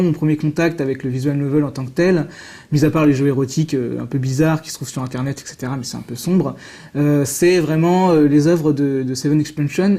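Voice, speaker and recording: A man's voice: on the high side, mellow. A man speaking as an interview guest, explaining things in casual conversation.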